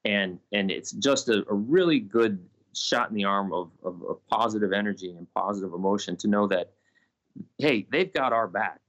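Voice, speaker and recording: A man answering in an interview as a spokesman, haltingly, repeating words mid-phrase. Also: fairly low pitch, a bit bright, low-quality recording. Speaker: union spokesman. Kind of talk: interview response.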